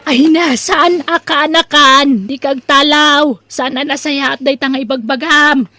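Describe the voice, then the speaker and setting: A woman's voice: high-pitched, steady tone, a little slow, bright, forceful tone, faint music behind. A woman playing a complaining, put-upon sweetheart of an older man in an Ilocano comedy audio drama, plaintive, with an Ilocano accent. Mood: concerned.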